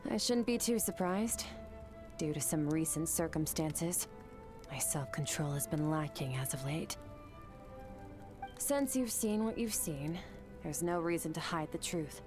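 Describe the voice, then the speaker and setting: Skirk, a cool terse swordmaster. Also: female voice, low-pitched, unhurried, pauses often, music in the background. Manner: calm, terse, detached. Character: cool, composed female swordmaster from another world.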